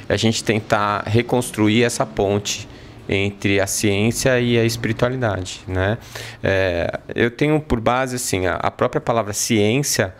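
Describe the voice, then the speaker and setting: A man, a physician guest, explaining his research in a thoughtful way with frequent filler pauses. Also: medium pitch. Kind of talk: interview answers.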